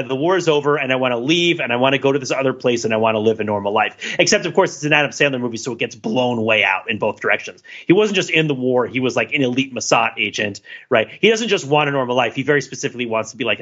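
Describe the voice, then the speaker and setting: A man's voice: mid-range voice, a bit bright, lo-fi sound. A man co-hosting a podcast in casual discussion, rambling with many fillers and self-corrections. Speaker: podcast co-host.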